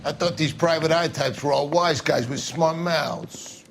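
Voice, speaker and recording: An actor playing a mocking, brutal 1940s thug in a crime video game. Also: male voice, a little high, measured pace, vocal fry, slight background noise. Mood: mocking menace.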